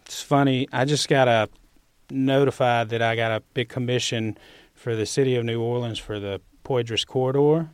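A man's voice: medium pitch, steady tone, moderate pace, smooth, dry recording. A man with a Southern US accent, a sculptor talking conversationally as an interview guest. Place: university building studio.

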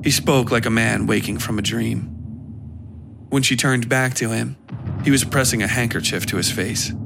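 Man narrating fiction in a gravelly voice. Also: mid-range voice, normal speed, noisy background. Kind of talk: scripted dark fiction narration.

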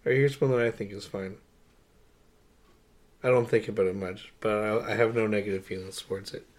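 A man's voice: lowish voice, fairly even intonation, fairly quick, a little mellow. A man co-hosting a podcast, chatting casually and informally about movies. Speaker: podcast co-host. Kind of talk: casual movie review chat.

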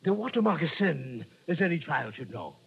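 An actor playing a pompous, irritable older scientist in a radio drama, lecturing with pedantic, condescending precision in a husky voice. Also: male voice, fairly high pitch, very animated, normal speed, full character voice. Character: pompous, irritable eccentric scientist, a learned male doctor. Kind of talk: old-time radio drama acting. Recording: dull lo-fi old radio recording.

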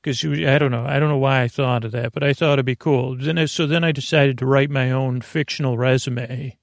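A man hosting a bedtime podcast in a creaky, gravelly voice, gently meandering through tangents and halting self-corrections to reassure the listener. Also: medium pitch, steady tone, no echo, clean studio sound. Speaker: podcast host. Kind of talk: rambling, unscripted bedtime podcast monologue.

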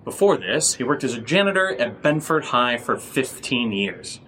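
An actor plays a young man with a medium-pitched voice, an excitable and paranoid amateur sleuth in a horror audio drama. He talks naturally, with a joking streak. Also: normal speed. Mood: excited, insistent.

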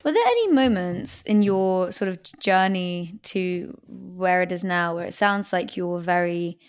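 Woman podcast host, lowish smooth voice, long rambly questions. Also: lively intonation, measured pace. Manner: long, winding questions with hedging fillers. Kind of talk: conversational interview questions.

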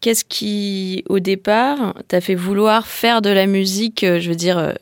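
A woman's voice: medium pitch, unhurried, dry recording, clean studio sound. A woman hosting a music interview, asking her questions in a friendly, conversational way, with some fillers. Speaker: interviewer host. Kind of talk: podcast interview.

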